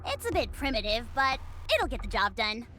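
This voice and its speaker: A woman's voice: a little high, big pitch swings, normal speed, pushed and bright. A woman voicing a small, bossy, protective young female squad member in a video game, blunt and businesslike.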